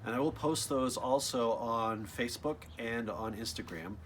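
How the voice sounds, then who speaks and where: A man's voice: mid-range voice, normal speed, a little hiss or hum. A man reading a children's picture book aloud expressively, with casual asides addressed straight to young listeners. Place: outdoors.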